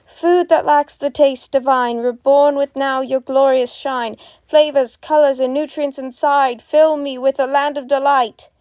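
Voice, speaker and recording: Woman playing an earnest young wizard woman, lifted bright-eyed pitch. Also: steady tone, a little slow, few pauses, no room sound. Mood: incanting. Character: friendly young female wizard, earnest and awkward. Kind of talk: amateur podfic character reading.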